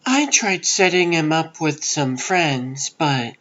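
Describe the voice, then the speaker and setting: A man's voice: fairly high pitch, lively intonation, takes it slowly, pushed and bright, lo-fi sound, exaggerated voice. A man voicing a guarded but friendly woman reporter in a comic book dub.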